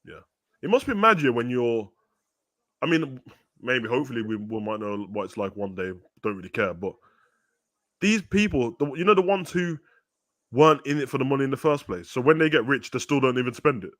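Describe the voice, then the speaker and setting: A man's voice: medium pitch, animated, fairly quick, a bit bright, dry recording, studio quality. A young man chatting casually as co-host of a football watch-along, laid-back and full of slang. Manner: laid-back slang-heavy chat, says "bro" a lot. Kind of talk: casual banter.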